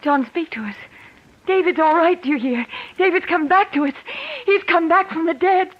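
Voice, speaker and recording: A woman's voice: very high-pitched, normal speed. An actress playing a desperate, grief-crazed mother in melodramatic, wailing 1940s radio style. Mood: hysterical, stricken. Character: desperate, grief-crazed mother longing for her dead son. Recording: muffled old radio drama recording.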